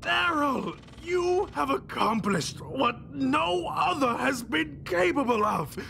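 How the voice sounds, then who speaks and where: An actor playing a flamboyant, ancient mastermind villain in a video game, pompous, grandiose and mock-polite with theatrical delivery. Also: male voice, extremely high pitch, lively intonation, slow, creaky, pushed and bright, slight background noise, full character voice. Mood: ecstatic mania.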